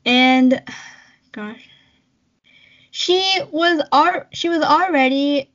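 A woman's voice: sits high, very slow, fairly bright tone. Young woman, chatty lo-fi podcast host. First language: Cantonese. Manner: chatty, laughs often, storytelling. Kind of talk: casual podcast chat.

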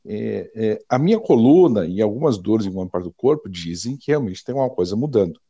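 A man of about sixty with a Brazilian accent, reflecting casually and personally, haltingly searching for his words. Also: lowish voice, lively intonation, dark tone, husky, no echo, dull audio. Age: around 60. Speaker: panelist.

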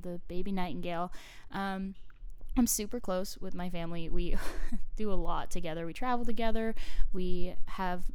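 Canadian woman, early twenties, podcast host; smooth, clear voice, friendly rambling chat. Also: on the low side, darkish tone. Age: 20s. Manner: chatty, friendly, many ums and little laughs. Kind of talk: casual solo podcast chat.

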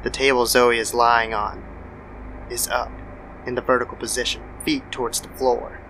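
A man reads a scripted horror tale as narrator. His mid-pitched voice has heavy gravelly fry, and clear noise sits behind it in the recording. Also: flat delivery, normal speed, sharp tone. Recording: noisy background ambience.

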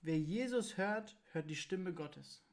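A woman's voice: on the low side, animated, takes it slowly, darkish tone. A woman talking casually and frankly as co-host in a question card game. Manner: informal, colloquial, opinionated. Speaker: co-host of a faith podcast.

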